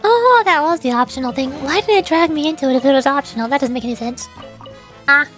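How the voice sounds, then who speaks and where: A woman's voice: high-pitched, fast. A woman VTuber chatting casually as she streams a game. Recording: game music behind the voice.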